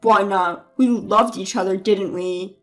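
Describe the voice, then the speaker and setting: A woman voicing a selfish, bullying husband who blusters and yells, then begs. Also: medium pitch, fairly even intonation, measured pace, soft background music, pitched below the performer's own voice, lightly acted. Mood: pleading. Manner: blustering, yelling then pleading. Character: bullying adult male husband, selfish mama's boy.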